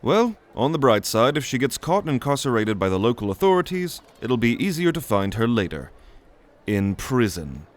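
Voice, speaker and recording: A man's voice: mid-range voice, big pitch swings, normal speed. A man voicing a weary magic teacher in an audio drama, lecturing with a dry, wry manner. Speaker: narrator and teacher figure. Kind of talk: ASMR audio drama roleplay.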